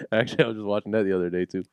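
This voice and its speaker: A man co-hosting a podcast in casual banter, swearing casually and quick to find things funny. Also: lowish voice, steady tone, smooth, no echo. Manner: laughs often, swears casually. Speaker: podcast co-host.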